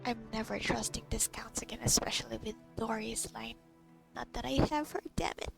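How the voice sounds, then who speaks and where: Female game streamer: fry-heavy, slightly high voice, casual muttered asides, dry teasing, clear music behind. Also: level tone, fairly quick. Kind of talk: casual game playthrough commentary.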